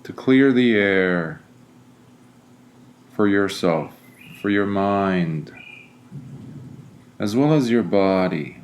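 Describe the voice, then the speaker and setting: Very slow-paced man guiding a meditation. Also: deep voice, expressive, slight background noise. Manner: deliberate, soothing, frequent pauses between phrases. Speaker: meditation guide, Buddhist monk. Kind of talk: guided meditation.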